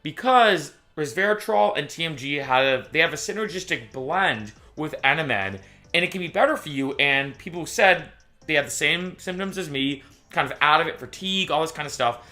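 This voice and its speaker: A young man chats to camera in a casual vlog, his voice bright and a little high for a man. He talks in an animated way and keeps stopping to restart or correct himself. Faint music plays underneath. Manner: chatty, restarts and self-corrections, animated retelling. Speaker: YouTuber.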